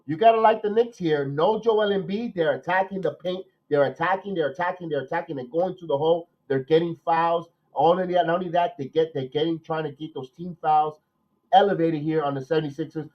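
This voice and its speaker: Nasal man calling a game excitedly. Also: fairly high pitch, fairly quick, highs cut off. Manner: excited play calling, rambling opinion talk with restarts. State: nasal from a cold. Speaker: podcast host doing live play-by-play. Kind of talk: watch-along game commentary and chat.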